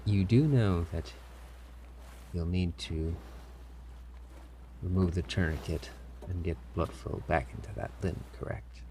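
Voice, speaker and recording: A man's voice: deep voice, dark tone. A man playing a stern, menacing pirate captain who grudgingly cares, in a commanding audio roleplay with noisy ambience behind him. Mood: gruff, grudging concern. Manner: slow, commanding, with sighs and feigned indifference. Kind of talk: audio roleplay acting, addressing a captive listener.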